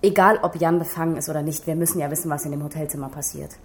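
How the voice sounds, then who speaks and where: Earnest low-voiced policewoman. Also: fairly even intonation, rapid-fire, soft background music. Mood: businesslike, urgent. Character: earnest, worried female police investigator. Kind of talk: German radio crime drama acting.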